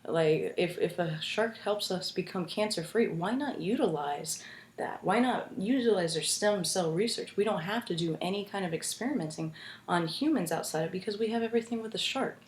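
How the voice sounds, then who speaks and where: A young American woman giving an informative class speech in an earnest, halting way, with frequent restarts, at home with her roommates listening. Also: medium pitch, lively intonation. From United States. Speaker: student speaker. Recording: echoey room.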